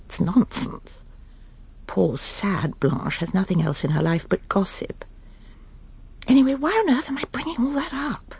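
An actress playing a prim, self-satisfied, controlling middle-aged English wife in a radio drama, brisk and genteel. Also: female voice, medium pitch, vocal fry, a little mellow, breathy edge, exaggerated voice. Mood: uneasy musing. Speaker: lead actress and narrator. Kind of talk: radio drama acting with inner monologue.

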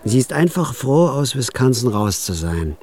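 A man's voice: medium pitch, big pitch swings, moderate pace, darkish tone. An actor playing a wry, nervous hitman who narrates his own story dryly in a radio drama. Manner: dry, understated first-person narration.

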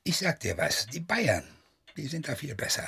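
Husky, creaky, grumbling old farmer. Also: male voice, mid-range voice, very animated, moderate pace, bright, forceful tone, exaggerated voice. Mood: grumbling, defensive. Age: older. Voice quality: gravelly, husky. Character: grumbling, stubborn old farmer father, nostalgic for harsher times. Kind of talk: German radio drama acting.

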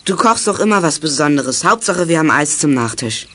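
A man's voice: on the high side, animated, a little fast, pushed and bright, a little hiss or hum. A male actor playing a carefree, food-loving boy in a children's radio play. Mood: eager.

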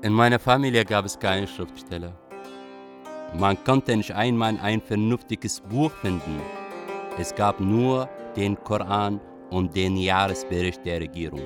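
A man's voice: fairly low pitch, unhurried, music in the background, lightly acted. A man playing a young Iraqi refugee who tells his life story in a literary radio play. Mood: reflective. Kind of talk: scripted first-person radio play narration.

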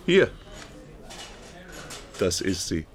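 An actor playing a guarded, streetwise workmate in a German radio crime drama, speaking in an offhand, colloquial way. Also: male voice, low voice, very animated, extremely slow, full character voice. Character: guarded, streetwise male workmate, plain-spoken.

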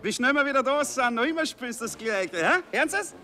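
Cocky young viennese soldier chatting up girls, very lively low-to-high delivery, offhand dialect, radio drama, music under it. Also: fast talker, exaggerated voice. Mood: excited, breezy. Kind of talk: radio play acting in dialect.

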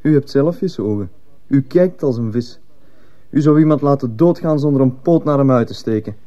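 A man's voice: medium pitch, expressive, moderate pace, warm tone, dull audio, lightly acted. A man playing a cold, defiant teenage schoolboy in a radio drama, speaking curtly and defensively. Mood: defiant, accusing. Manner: curt, defensive, insolent. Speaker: actor in a radio play. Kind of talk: Dutch-language radio drama acting.

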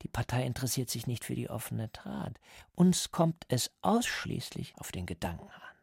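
An actor playing a coldly patient, menacing party interrogator who lectures deliberately and insistently in a radio drama. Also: male voice, medium pitch, very animated, creaky, darkish tone, slightly rough, dry recording, studio quality, exaggerated voice. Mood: patient, controlling. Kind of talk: German radio drama acting.

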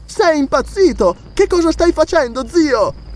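A man voicing a protective, indignant young noblewoman with a shrill manner in a storybook reading. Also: sing-song, measured pace, exaggerated voice. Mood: shocked indignation.

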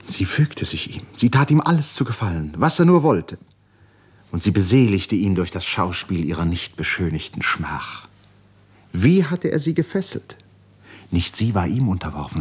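A low, breathy man's voice narrates a literary radio drama in 1950s style. His phrasing is deliberate and dramatic, often sinking into a hush at the ends of sentences. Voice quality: breathy, husky.

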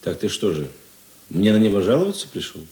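An actor playing a seasoned police investigator in a radio play, calm, unhurried and gently ironic. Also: male voice, on the low side. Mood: wry. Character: seasoned male police investigator chief, calm, wry, fatherly. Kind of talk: Russian radio drama acting. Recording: echoey room.

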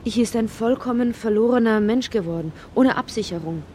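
An actress narrating a strange, inward literary radio drama in deliberate, short emphatic phrases. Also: female voice, fairly high pitch, darkish tone, a little hiss or hum, natural voice. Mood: reflective. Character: woman narrator of a strange inward dreamlike tale.

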